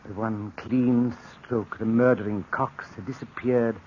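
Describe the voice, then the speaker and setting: A man narrating in the first person for an old radio drama, tense and breathy in places. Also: medium pitch, fairly even intonation, a little slow. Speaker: narrator and lead. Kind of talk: 1940s radio acting. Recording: dull old radio recording with hiss.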